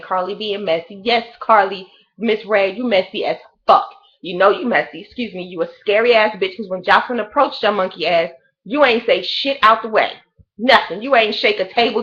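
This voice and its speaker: A woman's voice: medium pitch, a bit bright, highs cut off. A woman in her late thirties giving a blunt, profane, rambling gossip review of a reality show in a casual chat. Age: 30s.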